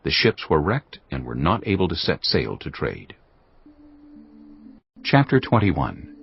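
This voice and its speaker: A man narrating scripture in a measured, solemn, scripted reading for an audio Bible. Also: rumbling low, huge pitch swings, vocal fry, a little hiss or hum. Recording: dull lo-fi audio with faint music.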